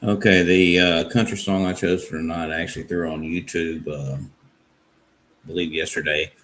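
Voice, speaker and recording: A man's voice: low-pitched, flat delivery, moderate pace, fairly bright tone, a little hiss or hum. A man taking part in a song contest, explaining his entry in an easygoing way with some fillers. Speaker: contest guest songwriter. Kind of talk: casual explanation.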